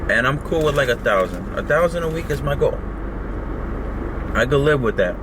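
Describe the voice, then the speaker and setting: A man's voice: mid-range voice, animated, normal speed, background noise. A man talking in a casual, rambling way about his work while eating, filmed in a car. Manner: laid-back, rambling, heavy on "like". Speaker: vlogger and rideshare driver. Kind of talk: casual mukbang chat.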